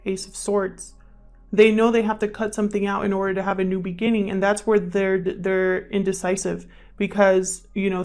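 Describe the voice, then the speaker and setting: A woman giving a casual, improvised tarot reading, thinking aloud as she talks to the cards and to her viewers. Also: mid-range voice. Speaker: tarot reader, channel host. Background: background music.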